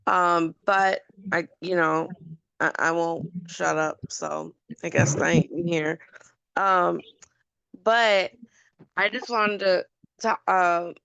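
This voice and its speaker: Hoarse woman, chatty with ums, gravelly voice, dry dull call audio. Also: lowish voice, fairly bright tone, dry recording. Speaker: call participant. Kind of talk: casual advice sharing.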